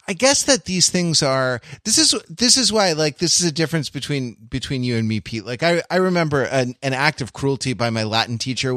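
A man's voice: medium pitch, fairly bright tone, no echo. Man in dry, close podcast chat. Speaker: podcast panelist. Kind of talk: casual, analytical podcast chat.

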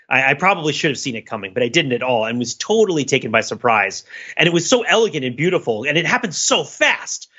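A man co-hosting a casual, analytical film discussion, talking in an animated, enthusiastic way with many rambling asides. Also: mid-range voice, muffled recording. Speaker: podcast co-host.

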